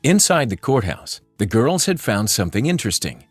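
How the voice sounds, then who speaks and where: A man narrates a children's storybook with a very lively, swooping delivery. His pitch is medium, and he sounds close and dry over soft music. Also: fairly quick, slightly rough, no room sound. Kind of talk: animated children's read-aloud narration.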